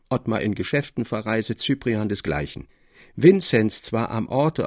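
Low-voiced male literary narrator. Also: a little mellow, no echo, studio quality.

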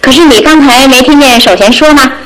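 High-voiced, teasing young sweetheart. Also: female voice, fairly quick, a bit bright, poor audio. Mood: sly, amused. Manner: teasing, mock-scolding. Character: poised, teasing young woman, coy sweetheart. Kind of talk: old-fashioned radio comedy acting.

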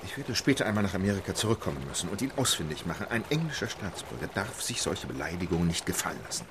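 An actor playing a dignified, formal English gentleman who speaks in clipped, composed sentences. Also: low voice, very animated, quick pace, noisy background. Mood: composed, proud. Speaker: Phileas Fogg.

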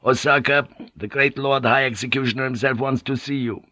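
A man voicing a boisterous, swaggering sea pilot who talks in a loud, jovial, profane way, on a dull cassette audiobook tape. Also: medium pitch, steady tone, fairly quick, no room sound, pitched above the performer's own voice, natural voice.

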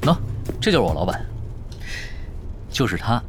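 A man's voice: lowish voice, big pitch swings, moderate pace, over music. An actor playing a laid-back, sardonic young man in a radio drama, casual and needling in the way he talks. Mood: nonchalant.

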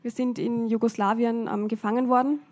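A woman's voice: on the high side, fairly even intonation, fairly quick, a little mellow. A woman interpreting a church service live, phrase by phrase, with frequent hesitation fillers.

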